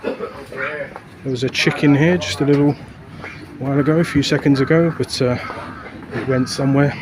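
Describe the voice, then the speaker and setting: A man talks his way along city streets and park paths, rambling and chatty, short of breath from the walk. His voice sits at an ordinary pitch. The outdoor recording has steady traffic and city noise clearly underneath. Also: normal speed. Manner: rambling, chatty, with frequent fillers. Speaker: travel vlogger. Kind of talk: casual walking commentary. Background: traffic and city ambience.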